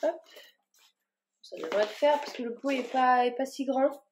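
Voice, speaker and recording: A woman's voice: high voice, expressive, normal speed, background noise. A woman vlogging casually as she repots her plants, talking in a rambling way with plenty of fillers and restarts. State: a bit warm and tired from the work.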